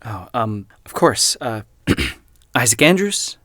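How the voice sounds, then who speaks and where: A man playing a self-doubting young podcaster in an audio drama, speaking in a halting, unsure way. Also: mid-range voice, sing-song, sharp tone, a little hiss or hum, heavily acted. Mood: hesitant, torn. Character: hesitant, self-doubting young male podcaster turned investigator.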